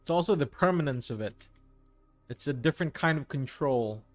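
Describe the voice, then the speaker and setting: A man's voice: a little high, expressive, moderate pace, dry recording, soft background music. Man chatting with his stream viewers. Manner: reads and answers chat messages, friendly, hesitant restarts. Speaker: livestream host. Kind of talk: casual chat with viewers while painting.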